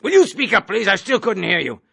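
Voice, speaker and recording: A man's voice: high-pitched, very animated, brisk, dry recording, pitched above the performer's own voice, full character voice. A man reading an audiobook, voicing a bloated, bullying army colonel who bellows and browbeats. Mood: bellowing. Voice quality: gravelly raspy roar. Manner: hectoring, shouting, browbeating. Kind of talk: audiobook character voice.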